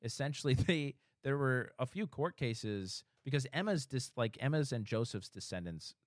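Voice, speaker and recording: Male host, animated delivery, loose chat, dry room. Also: medium pitch, no echo. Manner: quick reactions, wandering explanations. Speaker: podcast host. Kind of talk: casual podcast chat.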